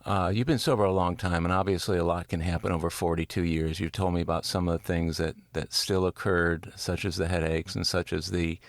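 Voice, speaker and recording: A mature man with a deep voice and plenty of vocal fry hosts an interview. He is measured and sympathetic, framing his questions reflectively. The sound is very dry. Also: steady tone, no echo. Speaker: interviewer, podcast host.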